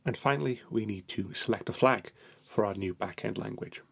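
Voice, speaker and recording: Male tutorial narrator, lowish gravelly voice, a bit husky, steady step-by-step delivery. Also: normal speed.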